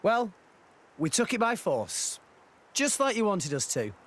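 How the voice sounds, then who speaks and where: A man's voice: sits high, huge pitch swings, a little slow, exaggerated voice. An actor playing a plucky, earnest working teenage boy in a video game cutscene. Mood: exasperated.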